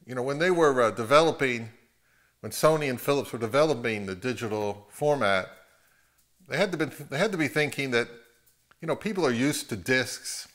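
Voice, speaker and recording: A man's voice: mid-range voice, moderate pace, a bit bright. A middle-aged man talking casually and unscripted to camera at home, hesitant, rambling and self-deprecating. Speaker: video host. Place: open living room at home, indoors.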